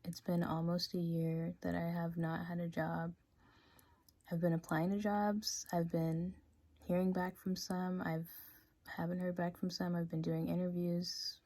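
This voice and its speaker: Slow, mellow-voiced young woman vlogger. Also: fairly low pitch, level tone, dry recording. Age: 20s. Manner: chatty, full of "like" and "um", drops to soft, hushed talk. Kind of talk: casual confessional vlog chat.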